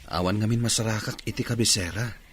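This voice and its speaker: An actor with an Ilocano accent playing a proud young jobseeker, a deferential son, in a radio drama. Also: male voice, on the low side, fast talker, slightly rough. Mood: dejected. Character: proud young man humbly seeking work, deferential son.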